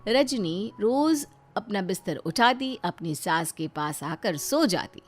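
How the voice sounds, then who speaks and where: Woman storyteller over soft music. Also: medium pitch, lively intonation, slow pace. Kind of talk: scripted story narration.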